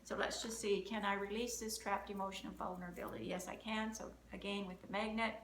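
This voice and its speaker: A woman's voice: medium pitch, flat delivery, fast talker. A woman runs a live energy-healing session, counting through options aloud in an upbeat, chatty way. Her voice sits in a middle register. The room is roomy, with a faint hiss of noise behind her. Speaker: emotion code practitioner and host. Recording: roomy, slight background noise.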